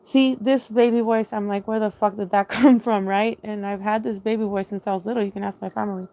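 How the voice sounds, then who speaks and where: A woman's voice: mid-range voice, fairly even intonation, brisk, mellow. A woman telling her own story in a casual, rambling monologue, hesitating often, restarting sentences and spelling out words letter by letter.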